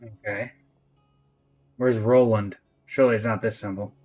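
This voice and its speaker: A man's voice: fairly low pitch, fairly even intonation, moderate pace, a little mellow, faint music behind. A man giving casual gameplay commentary, talking to himself as he plays. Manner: talks to himself while playing. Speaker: game streamer.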